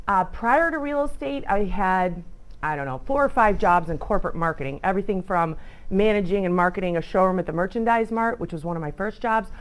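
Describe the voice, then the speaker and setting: A middle-aged woman, a realtor from northern Illinois, speaks to a high school club. Her voice sits at an ordinary middle pitch and swings up and down often. Her talk is chatty, and she breaks off for quick asides. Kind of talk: informal talk to students. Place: school club meeting room.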